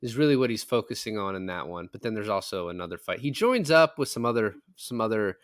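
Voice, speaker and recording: A man's voice: fairly low pitch, sing-song, fast, no room sound, clean studio sound. A man talking casually about films as a podcast co-host, explaining things in a conversational way. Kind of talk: casual film discussion.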